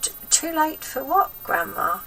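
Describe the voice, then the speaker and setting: Woman playing a young boy of eight, polite, meek, soft but bright, storybook character, slightly away from the mic. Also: high-pitched, sing-song, a little slow, far from the mic, pitched above the performer's own voice, exaggerated voice, poor audio. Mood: meekly protesting. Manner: meek, protesting softly. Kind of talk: acted children's storybook reading.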